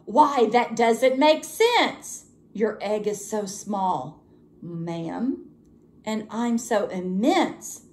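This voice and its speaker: A woman voicing a huge, gentle male storybook elephant, earnest and deliberate in manner. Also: mid-range voice, lively intonation. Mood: earnest, reluctant.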